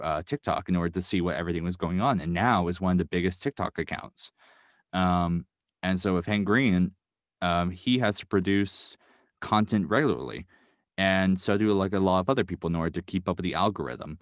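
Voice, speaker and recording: Young male podcast guest, very low voice, earnest and upbeat, dry close sound, lots of filler words. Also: dry recording. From New York. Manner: earnest, upbeat, many ums and likes. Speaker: guest, writer and podcast creator. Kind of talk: casual podcast interview.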